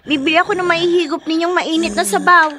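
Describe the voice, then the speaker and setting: A woman's voice: very high-pitched, fairly even intonation, a little fast, bright, forceful tone. A woman playing a dutiful, weary fifteen-year-old girl in a radio drama, caring but plain-spoken and reproachful. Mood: caring, scolding.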